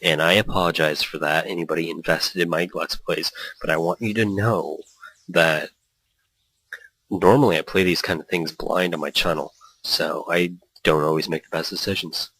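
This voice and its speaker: A man's voice: low voice, steady tone, normal speed, fairly bright tone, dry recording, low-quality recording. A man hosting a gaming let's play, reading story text aloud with playful, rambling asides and small stumbles. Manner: playful, rambling, stumbles over read lines.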